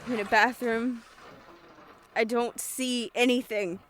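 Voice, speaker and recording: A woman playing a dazed, frightened, injured kindergarten teacher in a horror audio drama, speaking in halting fragments. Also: a little high, fairly even intonation, background noise. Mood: weak, frightened. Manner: halting, breaking sentences into fragments.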